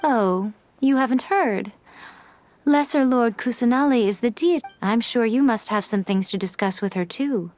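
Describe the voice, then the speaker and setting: A woman playing an elegant fox spirit shrine maiden in a video game, speaking in a measured, formal, knowing and teasing way. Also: on the high side, a little mellow, close to an everyday voice. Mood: solemn explaining. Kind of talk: dramatic game dialogue acting. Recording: music behind the voice.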